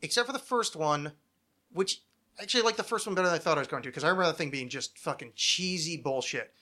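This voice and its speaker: A man's voice: on the high side, fast talker, sharp tone. A man in his thirties hosting a podcast, chatting casually in a rambling, freely sweary way. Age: 30s. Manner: rambling, digressive, freely profane. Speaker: podcast host. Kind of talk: casual chat about television.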